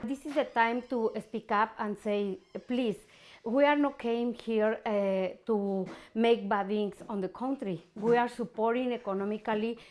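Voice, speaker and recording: Halting non-native woman speaker, highish pitch. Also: fairly even intonation, a little mellow. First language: not English. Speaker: protest organizer, interviewed. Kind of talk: halting, unscripted appeal.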